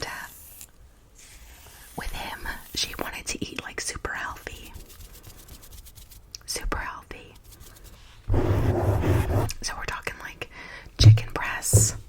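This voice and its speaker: A young woman whispering a chatty, rambling makeup tutorial into a close mic, drifting into tangents and softly repeating words. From United States. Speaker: ASMR makeup vlogger.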